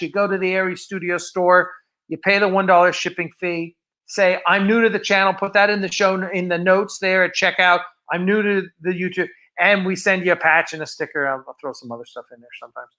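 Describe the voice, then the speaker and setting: A man's voice: high-pitched, fairly even intonation, brisk, sharp tone. A man hosting a casual livestream, chatting in a rambling, informal way. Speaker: host of a fan production livestream. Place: film set of a starship bridge.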